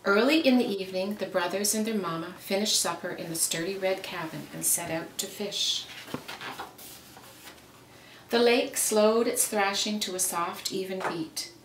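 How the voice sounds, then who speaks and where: A woman reading a children's story aloud with careful, expressive, dramatic phrasing. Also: mid-range voice, moderate pace. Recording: roomy, noisy, distant microphone.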